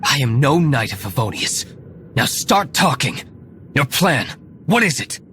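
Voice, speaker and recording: A man's voice: mid-range voice, very animated, measured pace, a bit bright, slight background noise. A man playing a cool, menacing aristocratic young hero in a video game, stern and formal. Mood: cold, threatening. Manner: stern, formal, coolly commanding.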